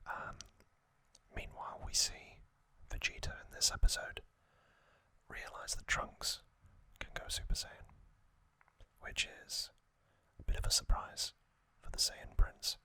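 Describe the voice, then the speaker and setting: A man whispering an unhurried ASMR recap, with soft pauses and frequent ums. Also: no room sound. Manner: unvoiced whisper, slow and relaxed with frequent ums. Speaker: ASMR channel host.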